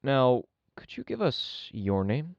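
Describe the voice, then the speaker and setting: A man playing a businesslike police officer questioning someone in an official, brisk way in an audio drama. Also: mid-range voice, big pitch swings, vocal fry, dry recording, full character voice. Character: businesslike male missing-persons police officer. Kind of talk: audio drama interrogation dialogue. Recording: dry, dull close recording.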